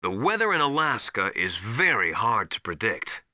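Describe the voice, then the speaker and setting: A stern veteran military instructor from a video game, with a hard, very sharp and forceful voice. His delivery is authoritative and deliberate, swinging widely in pitch to hammer home each piece of advice. The sound is dry and close. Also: sing-song, no room sound, exaggerated voice. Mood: firm, instructive. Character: stern veteran soldier mentor, tough drill-master advising an operative.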